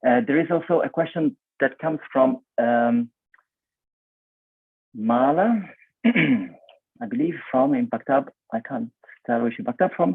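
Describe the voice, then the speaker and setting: Italian-accented male moderator, low husky voice, hesitant, tinny dry call audio. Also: animated, measured pace, pauses often, dry recording. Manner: hesitant, many "um" and "uh", reads out questions. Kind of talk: moderating a webinar. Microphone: video call.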